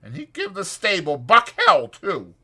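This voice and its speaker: A man's voice: high-pitched, wildly swooping pitch, measured pace, gravelly, bright, forceful tone, husky, pitched above the performer's own voice, full character voice. A man voicing a chatty old ranch swamper with rambling, folksy speech for a dramatized audiobook. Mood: excited, gossipy.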